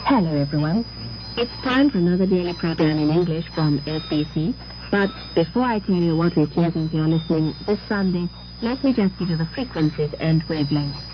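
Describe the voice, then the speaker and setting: A woman's voice: lowish voice, muffled recording, background noise. A woman reading a scripted program as a 1960s shortwave radio announcer, speaking formally with careful enunciation.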